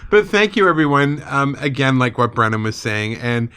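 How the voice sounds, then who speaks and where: A man's voice: medium pitch, big pitch swings, moderate pace, bright, forceful tone, clean tone. A man co-hosting a podcast, chatting casually in an informal, joking manner. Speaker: podcast co-host.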